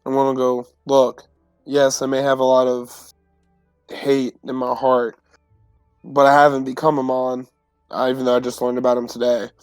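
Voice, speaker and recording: A man's voice: medium pitch, little pitch movement, moderate pace, clear, resonant tone, no room sound, faint music behind. A man speaking casually as a player in a tabletop roleplaying game.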